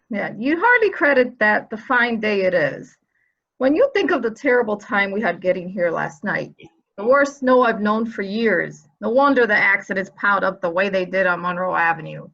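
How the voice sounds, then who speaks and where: A woman's voice: medium pitch, animated, moderate pace, creaky, fairly bright tone. A woman playing a dour, opinionated subordinate police officer with a folksy way of talking, in a dramatic reading of a murder mystery. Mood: gloomy. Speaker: actor in a library's dramatic reading. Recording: slightly dull, lo-fi audio.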